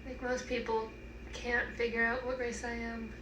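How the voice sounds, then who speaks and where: A woman's voice: fairly high pitch, level tone, moderate pace. A young woman giving hesitant, casual answers in an interview. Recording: echoey, distant, noisy.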